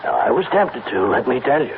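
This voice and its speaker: An actor playing a grave, paternal country judge, measured and admonishing, in an old-time radio drama. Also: male voice, mid-range voice, very animated. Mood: stern. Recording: tinny, noisy old radio recording.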